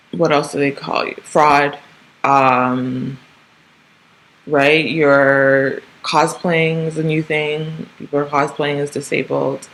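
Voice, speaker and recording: A woman's voice: a bass voice, low-quality recording. Rambling woman in her thirties musing aloud. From Canada. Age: 30s. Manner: rambling, frequent ums and self-corrections, tag questions. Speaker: podcast host. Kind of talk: casual solo monologue.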